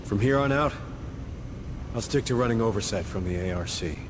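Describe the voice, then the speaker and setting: An actor playing a gruff, sardonic space marine sergeant with a blunt, wry way of talking in a video game. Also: male voice, fairly low pitch, moderate pace, noisy background, lightly acted. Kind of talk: scripted video game cutscene acting.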